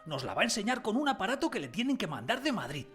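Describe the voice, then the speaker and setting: Curious schoolboy played by a man, high, animated. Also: quick pace, faint music behind. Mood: eager. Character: curious, wide-eyed male schoolboy, eager and innocent. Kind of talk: radio drama acting.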